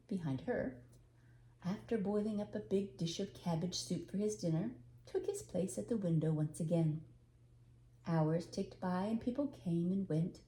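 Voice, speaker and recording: Older female storybook narrator: relaxed dark tone, expressive reading, roomy recording with some faint noise. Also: medium pitch. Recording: echoey room, faint background noise.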